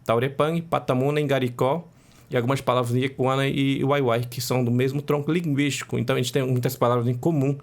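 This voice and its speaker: A man whose first language is Macuxi speaks as an interview guest, with a voice that sits mid-range. He lays out long lists patiently and adds "né?" between items. His delivery is measured and steady. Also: mid-range voice. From Roraima, Brazil. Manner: measured, listing facts with frequent "né?" tags. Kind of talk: interview answers.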